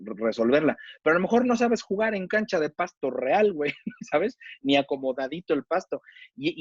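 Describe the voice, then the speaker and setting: A man's voice: mid-range voice, dry recording, dull audio. A man with a Mexican accent, a chef debating in a casual, slangy way and using plenty of rhetorical questions.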